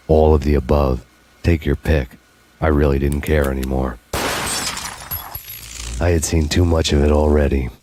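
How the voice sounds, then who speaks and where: An actor playing a world-weary, vengeful noir antihero, narrating a video game in a deadpan, fatalistic, hard-boiled way. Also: male voice, a bass voice. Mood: weary, sardonic.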